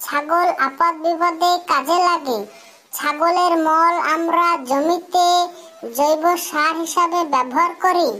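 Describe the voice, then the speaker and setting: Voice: very high-pitched, fairly even intonation, slow pace, sharp tone, heavily acted. A performer voicing an earnest cartoon schoolboy who speaks like a pupil reciting memorized lessons. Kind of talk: cartoon comedy dialogue.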